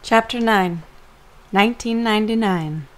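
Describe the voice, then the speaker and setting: A woman reading scripted story narration for an audio drama in a measured, creaky voice. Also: mid-range voice, faint music behind.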